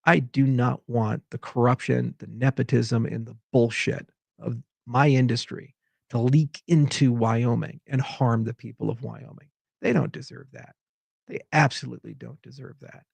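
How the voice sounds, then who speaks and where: Gravelly man ranting off the cuff. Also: medium pitch, fairly even intonation, moderate pace, a little mellow, no room sound. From Hawaii. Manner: exasperated, rambling rant. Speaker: tech founder giving commentary. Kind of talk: unscripted monologue.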